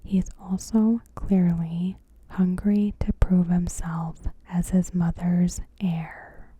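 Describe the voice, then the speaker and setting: Female ASMR narrator: whispered, very breathy commentary, extremely unhurried, in short measured phrases. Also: fairly low pitch, little pitch movement, warm tone, dry recording. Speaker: ASMR host. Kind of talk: ASMR, softly spoken TV episode commentary.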